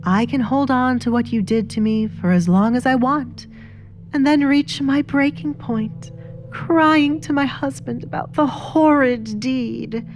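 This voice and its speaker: A woman's voice: sits high, measured pace, darkish tone, voice pushed up. A cruel, sly baroness played by a woman narrator in a dramatic audio story. She is haughty and menacing, turns mock-wounded to accuse, and speaks over background music. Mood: menacing, commanding. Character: sly, cruel, scheming baroness, a married mother.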